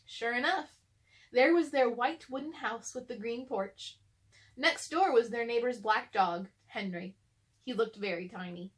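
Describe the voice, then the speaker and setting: A woman's voice: on the high side, measured pace. A woman hosting a children's read-aloud, narrating a storybook expressively.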